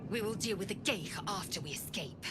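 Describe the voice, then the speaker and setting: A woman's voice: medium pitch, animated, a little fast, over loud music, lightly acted. A woman voicing a fierce, commanding alien warrior in a video game, with clipped, imperious delivery. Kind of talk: video game cutscene acting.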